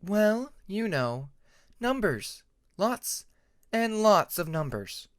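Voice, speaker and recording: High-pitched young female sea dragon, a man acting. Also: very animated, extremely slow, no room sound, clean studio sound, heavily acted. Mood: cheerful.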